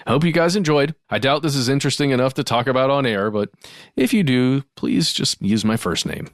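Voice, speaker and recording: A man's voice: medium pitch, lively intonation, fairly quick, no echo, clean studio sound, lightly acted. A man giving a dramatic reading as a baffled skeptic telling their story, with exaggerated emphasis. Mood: confiding. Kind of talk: dramatic reading of a listener letter.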